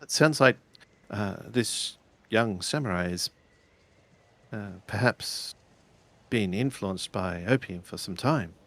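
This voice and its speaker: A man's voice: fairly low pitch, animated, takes it slowly, long pauses, a bit bright, no echo. A man playing a tabletop roleplaying game, improvising dialogue in a hesitant, questioning way as he thinks aloud.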